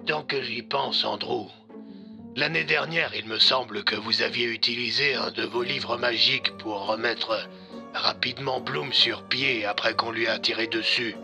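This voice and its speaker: A man's voice: mid-range voice, fairly even intonation, unhurried, creaky, over music. A man voicing a weary old male police commissioner in short, clipped phrases. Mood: weary, authoritative.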